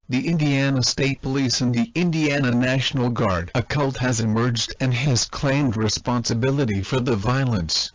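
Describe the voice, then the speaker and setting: A man delivers an emergency alert in a formal, mechanical voice at a medium pitch. The delivery is flat and scripted, and the sound is dull and lo-fi, like an old broadcast. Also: no echo.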